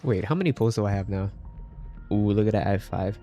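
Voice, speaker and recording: A man's voice: fairly low pitch, animated, fairly quick, dark tone, muffled recording. Casual male game streamer, music behind. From Philippines. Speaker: game livestreamer. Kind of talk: casual chat with live viewers while gaming.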